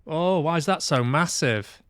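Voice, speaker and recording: A man's voice: fairly high pitch, expressive, a little slow, a bit bright, clean tone, no echo, studio quality. A man chatting casually as he hosts a livestream, hesitant and musing aloud to himself. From Manchester, UK. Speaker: livestream host. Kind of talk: casual livestream chat while playing games.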